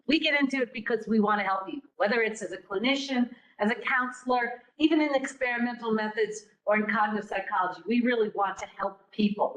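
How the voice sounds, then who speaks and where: A woman's voice: a little high, fairly bright tone, poor audio. A middle-aged woman, a program director, giving an informal, encouraging talk to students in a classroom without a mic, asking many rhetorical questions. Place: classroom with a live audience. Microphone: no mic on, room recording.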